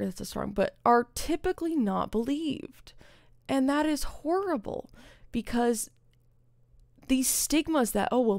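A young woman with noticeable vocal fry talks at middling pitch. She gives casual, opinionated commentary in an earnest, emphatic, sweary way, recorded dry at a streaming desk. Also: dry recording. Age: young adult. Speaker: gaming reaction streamer. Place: indoor streaming setup.

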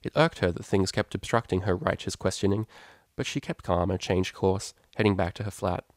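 A man with a fairly low voice narrates a written story. The recording is dry, with little room sound. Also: fairly even intonation, fairly quick, no room sound. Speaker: audiobook narrator.

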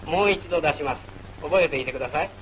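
High-pitched male vintage film narrator. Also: fast talker, a bit bright, slight background noise, rough recording. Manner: formal, instructional. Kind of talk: scripted narration, 1940s military training film. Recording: old wartime film soundtrack. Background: music.

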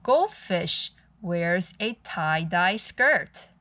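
Woman with lively storytime intonation. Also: fairly low pitch, extremely slow. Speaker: children's storytime host. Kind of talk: picture book read-aloud for young children.

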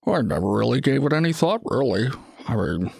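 A man's voice: medium pitch, sing-song, brisk, creaky, a little mellow, husky, no room sound, studio quality, full character voice. A man voicing a polite, hesitant closet monster in an audio story, speaking in a mild, conversational way. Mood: uncertain, reflective. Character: male closet monster, hesitant, polite, tentacled child-eater. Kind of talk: acted audio story dialogue.

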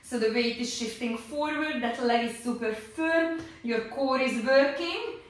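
Unhurried woman instructor in an echoey room. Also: sits high. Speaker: Pilates instructor. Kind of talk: exercise instruction, demonstrating moves. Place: small indoor home-style exercise studio. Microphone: distant camera microphone. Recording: echoey room, distant microphone.

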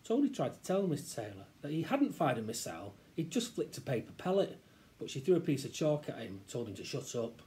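A man's voice: on the high side, sing-song, a little fast, dark tone. A middle-aged man with a British accent reading a children's story aloud expressively, recorded at a desk in a small office.

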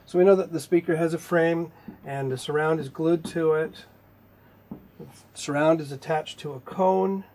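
A man in his fifties giving a technical lecture in a measured, explanatory way with pauses mid-sentence, his voice slightly husky. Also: on the high side, fairly even intonation, darkish tone, a little hiss or hum. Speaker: instructor, R&D manager. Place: indoor video studio with whiteboard.

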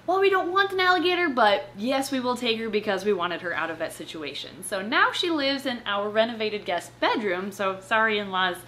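Young woman, bright forceful voice, playful, casual presenter, faint background noise. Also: mid-range voice, a little fast, hardly any pauses. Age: young adult. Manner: expressive, playful. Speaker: host of a reptile channel. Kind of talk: casual chatty presenting with read-aloud passages. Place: living room at home, on a couch. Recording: clear background room noise.

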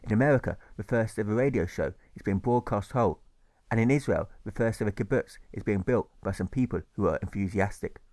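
A man narrating a rhyming novel as an audiobook, in measured storytelling that leans on the rhymes. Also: fairly low pitch, a little mellow, no room sound. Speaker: author narrating his audiobook.

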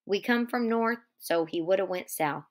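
A woman's voice: medium pitch, moderate pace, close to an everyday voice. A woman voicing a tired, protective ranch man who speaks hesitantly and pleads for his friend. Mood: subdued.

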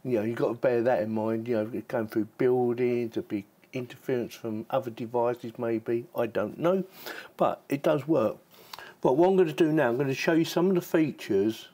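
A man's voice: medium pitch, normal speed, dark tone. A man hosting a casual product review, chatting informally and thinking aloud as he demonstrates the gear. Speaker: tech reviewer and channel host.

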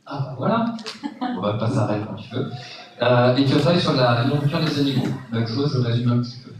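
A man's voice: medium pitch, lively intonation, a little fast, background noise. Guy introducing a speaker, loose and jokey, stumbles a lot, far off mic in a big echoey hall. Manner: informal, joking, stumbling. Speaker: event host. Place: large lecture hall. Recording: echoey lecture hall, from afar.

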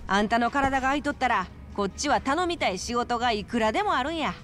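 A woman's voice: fairly high pitch, fast talker, soft background music. A woman voicing a brash, coaxing female fight promoter with a Kansai accent in a video game. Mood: brisk, persuasive. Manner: animated, coaxing, emphatic. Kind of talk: video game cutscene acting.

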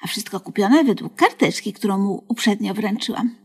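A woman's voice: mid-range voice, normal speed, darkish tone, husky. An actress playing a naive, devoted older woman in a radio comedy, effusive, fussy and hopeful, with hesitant, coaxing phrasing. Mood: hopeful musing. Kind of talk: Polish comedy radio play acting.

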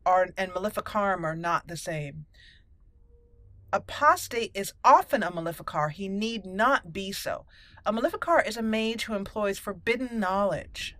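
A woman's voice: mid-range voice, fairly bright tone. A woman hosting a casual let's play, chatty and reactive, thinking aloud as she plays. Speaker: gaming channel host.

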